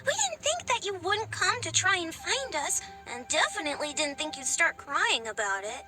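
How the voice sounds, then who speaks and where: A woman's voice: very high voice, sing-song, normal speed, over loud music. A woman voicing a cheeky but caring little village girl in a video game, with a childlike voice. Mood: scolding. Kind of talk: video game character dialogue.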